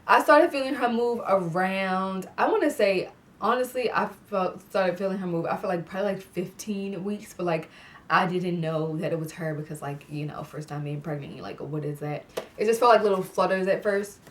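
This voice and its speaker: A woman's voice: mid-range voice, normal speed, recorded from a distance, slight background noise. A young woman chatting casually to camera in a vlog, stuffy-nosed and laughing often, filling her talk with "like". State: congested, clears throat. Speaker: vlogger. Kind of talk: casual pregnancy update vlog. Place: indoor room with fairy lights.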